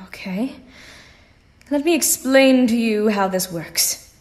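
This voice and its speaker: A woman's voice: fairly high pitch, takes it slowly, a little mellow, faint music behind. A woman playing a stern, darkly matter-of-fact grandmother in an audio drama, speaking with dry, threatening politeness.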